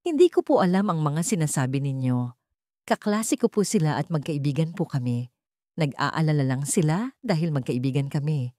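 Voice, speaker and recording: A woman's voice: sits low, expressive, moderate pace, mellow, dry recording. A woman voicing a meek young stepdaughter in an audio storybook, speaking politely and hesitantly as she explains herself. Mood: earnest, defensive. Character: timid, downtrodden young stepdaughter, earnest and guarded. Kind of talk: dramatic audio storybook dialogue.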